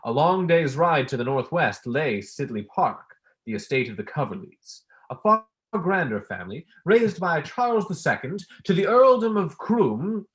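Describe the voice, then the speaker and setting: A student actor playing a pompous, easily wounded academic, declaiming in a staged play reading. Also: male voice, on the high side, big pitch swings, normal speed. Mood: declamatory, self-important. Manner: declamatory, oratorical.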